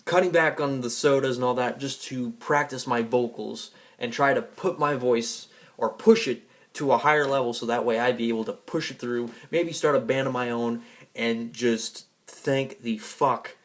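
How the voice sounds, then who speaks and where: A man's voice: mid-range voice, fairly even intonation, normal speed. Emphatic, defiant man vlogging. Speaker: vlogger and music fan. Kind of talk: rambling personal monologue to an audience.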